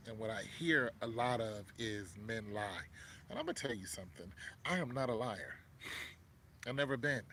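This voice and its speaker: A man's voice: mid-range voice, pushed and bright, slight background noise. A man in his fifties talking casually to a viewer, rambling with many fillers and restarts. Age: 50s. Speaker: vlogger.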